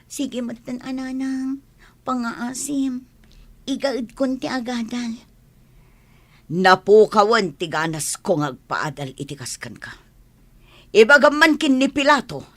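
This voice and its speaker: A woman's voice: fairly high pitch, a bit bright, no echo. A woman playing an emotional, strong-willed character in a family audio drama, reproachful and plaintive in delivery. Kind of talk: melodramatic audio drama dialogue.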